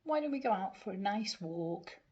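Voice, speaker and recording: A woman's voice: medium pitch, expressive, normal speed, a little mellow, lo-fi sound, lightly acted. A woman voicing an exasperated but forgiving male dog owner, emphatic, in a children's storybook.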